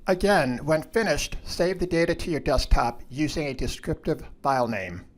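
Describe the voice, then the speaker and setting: A man reads scripted instructional narration in a measured, step-by-step way, like a lab instructor. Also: on the high side.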